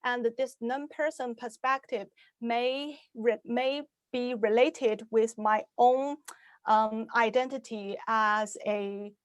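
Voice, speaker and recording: Slow, hesitant woman, Chinese native speaker. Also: on the high side, steady tone, clear, resonant tone, no room sound. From Shanghai, China. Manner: hesitant, many "uh" and "um" fillers, restarts. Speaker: science fiction author giving a talk. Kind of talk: online talk.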